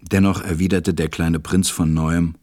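Deep, melancholy storytelling pilot. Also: male voice, flat delivery, unhurried, darkish tone, dry recording, clean studio sound. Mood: tense narration. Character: melancholy, tender grown man, stranded pilot telling his story. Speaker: narrator. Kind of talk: audio drama narration and acting.

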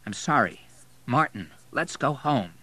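A man's voice: medium pitch, animated, a little slow, bright, forceful tone, breathy edge, no room sound, pitched above the performer's own voice, heavily acted. A man voicing an earnest, anxious and loyal male friend in an audiobook. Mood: gentle persuasion.

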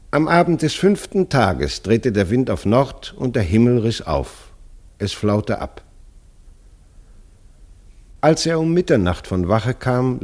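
A man's voice: on the low side, darkish tone. A man narrating a literary radio play as a scripted story.

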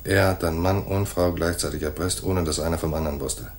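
Noir gumshoe, male actor: low, dry, matter-of-fact telling of his case, radio play with some noisy ambience. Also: steady tone, a little fast, natural voice. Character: laconic hardboiled male private detective narrating his case. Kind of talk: 1980s radio noir narration and dialogue.

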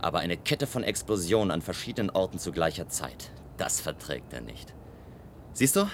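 An actor playing a brooding male inventor in a radio drama, narrating and speaking in a measured, deliberate, detached way. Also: sits low, sing-song, slight background noise. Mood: cold, defiant. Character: brooding male inventor, coolly destroying his own great tower. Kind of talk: German radio drama, first-person narration and dialogue.

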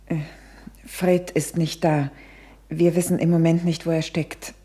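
A woman's voice: deep voice, flat delivery, unhurried, warm tone. Anxious, worn-down mother. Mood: strained, weary. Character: anxious, worn-down mother of a missing small boy. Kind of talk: German radio drama acting.

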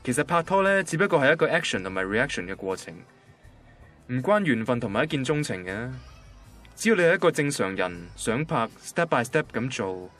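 A man's voice: medium pitch, very animated, brisk, fairly bright tone, faint music behind. An actor playing an ordinary, literal-minded young male banker with a Hong Kong Cantonese accent, in a radio drama. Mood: matter-of-fact. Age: young adult. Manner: matter-of-fact, pedantic.

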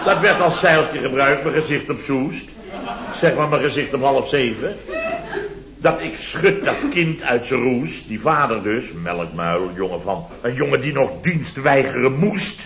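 An actor playing a blustering, sarcastic contractor boss in a radio comedy, theatrical and full of rhetorical tags. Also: male voice, medium pitch, lively intonation, a little slow, background noise. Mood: indignant storytelling. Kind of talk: late-1960s Dutch radio comedy acting. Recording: muffled vintage radio recording, audience behind.

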